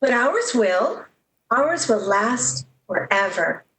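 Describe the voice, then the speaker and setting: A woman's voice: a little high, very animated, takes it slowly, a bit bright, full character voice, rough recording. A woman playing a dreamy, lovestruck young switchboard operator in 1930s radio style, earnest and gushing. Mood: dreamy, excited.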